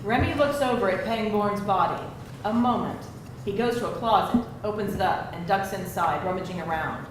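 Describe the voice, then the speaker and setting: A woman's voice: medium pitch, normal speed. A woman reading stage directions as narrator at a live screenplay reading. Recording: very echoey, distant, noisy live room.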